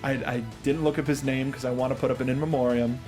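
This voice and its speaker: A man's voice: medium pitch, little pitch movement, quick pace, a little mellow, soft background music. A man hosting a casual panel chat about movies, jokey and given to quoting lines in mimicked voices. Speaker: host of a film review show.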